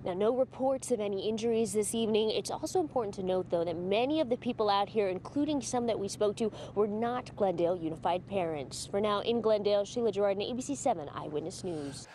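A young woman reporting live at night from an outdoor lot with a handheld mic, in a crisp, scripted manner. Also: mid-range voice, fast, few pauses, mellow, slight background noise. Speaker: field reporter.

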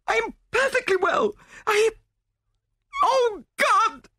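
A man's voice: extremely high pitch, takes it slowly, bright, forceful tone, no echo, voice pushed up, exaggerated voice. A man voicing a terrified, hysterical married woman in an audiobook, gasping and melodramatic. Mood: hysterical, frantic.

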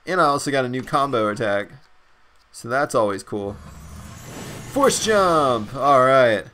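A man hosting a let's play, commenting on gameplay in an excitable, enthusiastic way, his voice raspy and a bit stuffed up. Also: mid-range voice, measured pace, slight background noise.

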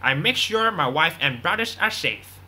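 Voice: medium pitch, lively intonation, normal speed, sharp tone, a little hiss or hum. A performer playing a brash, unrepentant drug boss on the run in a radio drama, boastful and dismissive. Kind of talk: scripted radio drama interview.

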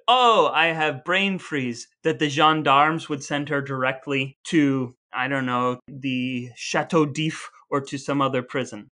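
A man's voice: a little high, bright, forceful tone. A man co-hosting a podcast in a conversational, lightly scripted style, with a wry, mock-formal and wordy way of talking. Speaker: podcast co-host.

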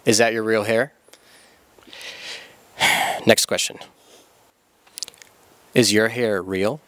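A man's voice: fairly low pitch, steady tone, very slow, pushed and bright, no room sound. Young man, mock reporter, dry studio sound. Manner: terse, repetitive one-word questions. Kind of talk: comedic deadpan interview questions. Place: indoor church hall stage. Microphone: handheld mic.

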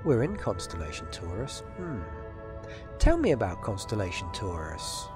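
A man's voice: on the low side, huge pitch swings, normal speed. A man presenting a product demonstration, mixing scripted promotional narration with spoken commands. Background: music behind the voice.

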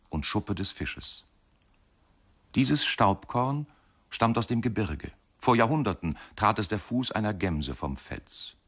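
Deep-voiced man narrating literary radio. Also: fairly bright tone.

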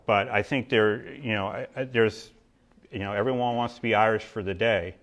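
Low-voiced man lecturing at a podium. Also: fairly even intonation, normal speed, a bit bright. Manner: conversational, frequent "you know" and restarts. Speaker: author giving a history talk. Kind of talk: lecture with audience questions. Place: large lecture hall with screen, at a podium.

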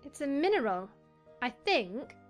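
A woman acts out a young storybook girl, polite and inquisitive. Her voice is high and matter-of-fact, with light music behind it. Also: big pitch swings, a little slow. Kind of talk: dramatized audiobook acting.

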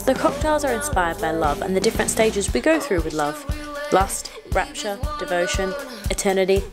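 A woman's voice: mid-range voice, very animated, moderate pace. A young woman in her twenties chatting to a handheld camera as she tastes food in a busy restaurant. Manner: chatty, bubbly, food tasting. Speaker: vlogger. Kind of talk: casual vlog talk to camera. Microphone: handheld camera at arm's length. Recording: noisy restaurant with loud music and crowd. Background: music and crowd chatter.

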